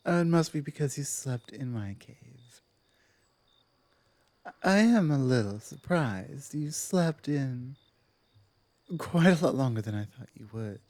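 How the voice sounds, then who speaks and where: A female werewolf pack leader played by a woman in an ASMR audio roleplay, commanding yet softening. She talks slowly and earnestly, pausing to weigh her words. The sound is dry and close. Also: very deep voice, animated, dry recording, full character voice. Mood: calm, solemn. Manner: measured, hesitant, earnest, with thoughtful pauses.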